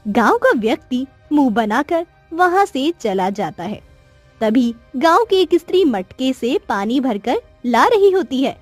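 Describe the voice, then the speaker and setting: High-voiced woman narrating children's stories. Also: very animated, a little slow, no room sound, faint music behind. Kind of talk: scripted storytelling narration for children.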